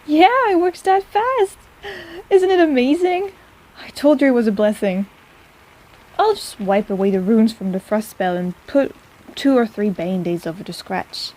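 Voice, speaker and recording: Casting: actress as chatty, fond, teasing female apothecary for intimate audio roleplay; soft-edged warm tone, slightly high pitch. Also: very animated, low-quality recording. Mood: chatty, upbeat. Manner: chatty and rambling, halting, gently teasing. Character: warm, teasing, flustered young woman apothecary shopkeeper, caring and rambling. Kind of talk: intimate personal-attention audio roleplay, speaking to the listener.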